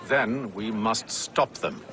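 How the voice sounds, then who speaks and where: An actor playing a calm, resolute leader in a video game cutscene, speaking with deliberate authority. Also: male voice, lowish voice, slight background noise. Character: measured, commanding male leader, calm and resolute.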